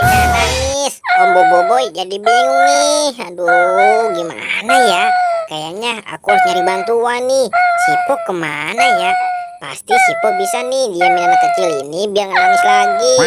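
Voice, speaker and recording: A man's voice: very high voice, full character voice. A man voicing a cheerful, flustered young hero uncle in children's toy-play storytelling, chatty and full of exclamations. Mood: coaxing. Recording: loud music over the voice.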